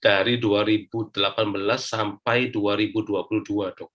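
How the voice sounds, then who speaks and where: A man's voice: lowish voice, level tone, normal speed, a bit bright. A man with an Indonesian accent, an interview guest giving a casual personal account step by step.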